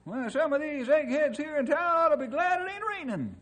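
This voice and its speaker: An actor playing a cranky, comical old sidekick in a radio western, with folksy, ungrammatical talk and a cackling voice. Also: male voice, sing-song, fairly quick, a bit bright, exaggerated voice. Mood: grumbling, joking. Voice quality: cackling, high-pitched.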